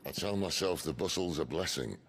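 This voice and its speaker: Roguish middle-aged rebel chief, rock-bottom pitch, heavy rasping creak, wry, unhurried, philosophical, video game drama. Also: male voice, animated, slight background noise. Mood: wry, reflective. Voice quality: gravelly. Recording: music under the voice.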